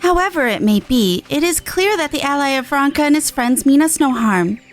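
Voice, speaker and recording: A woman's voice: high-pitched, expressive, soft background music. Woman playing a proud, wary warrior woman. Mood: surprised, cautious. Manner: formal, measured, questioning. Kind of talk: video game story dialogue read aloud.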